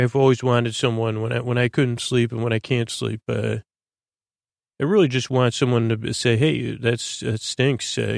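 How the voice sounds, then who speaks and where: A man hosting a bedtime podcast in a creaky voice, droning and meandering hesitantly to lull listeners to sleep. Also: medium pitch, no room sound, clean studio sound. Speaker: host of a bedtime story podcast.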